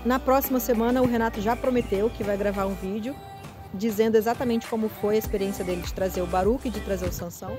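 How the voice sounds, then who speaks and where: A Brazilian woman with a Brazilian Portuguese accent, hosting a casual vlog and explaining things step by step, often restarting her words. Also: a little high. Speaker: vlogger.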